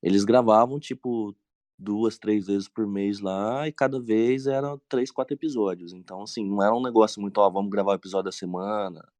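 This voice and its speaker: A Brazilian man with a lowish voice, recorded close and dry. He chats informally, with plenty of slang, like a panelist among fellow fans. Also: fairly quick, darkish tone, dry recording. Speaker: panelist on a fan roundtable. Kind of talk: casual chat.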